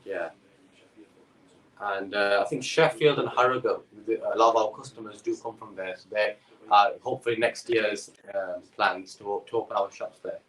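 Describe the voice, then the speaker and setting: Male guest, lower voice, hesitant delivery, distant echoey remote call. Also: steady tone, moderate pace, a bit bright. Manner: hesitant, many fillers and repeats. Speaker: perfume business owner. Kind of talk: guest answering interview questions.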